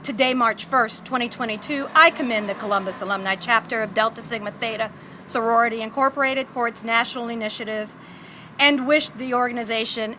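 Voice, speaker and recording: A woman's voice: a little high, sharp tone, a little hiss or hum. A woman, a district director formally reading a proclamation at a ceremony. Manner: measured and formal, light laughs. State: slightly hoarse.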